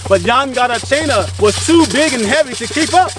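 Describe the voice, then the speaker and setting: A storyteller and host telling a children's tale in a theatrical, expressive, emphatic way, sometimes breaking into song. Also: sits high, a little fast. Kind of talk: animated children's storytelling with sung call-and-response. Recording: hip hop music under the voice.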